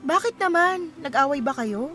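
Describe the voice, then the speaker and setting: A high-voiced, caring young Filipina. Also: female voice, moderate pace. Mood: concerned. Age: young adult. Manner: expressive, sympathetic. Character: caring young woman, supportive friend secretly in love. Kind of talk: Filipino radio drama acting. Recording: music playing behind the voice.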